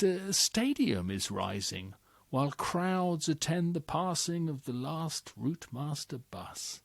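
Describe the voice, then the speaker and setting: Man reading a poem real slow and deliberate, dry close mic, nothing behind him. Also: medium pitch, no room sound. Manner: measured, deliberate delivery. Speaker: poetry reader. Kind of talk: slow dramatic poetry reading.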